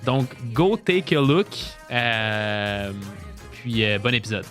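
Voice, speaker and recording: A man's voice: mid-range voice, animated, slow, fairly bright tone, soft background music. A man with a Québécois French accent hosting a climbing podcast, talking casually with many fillers and restarts. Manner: filler-heavy, restarts, frequent laughs. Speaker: podcast host.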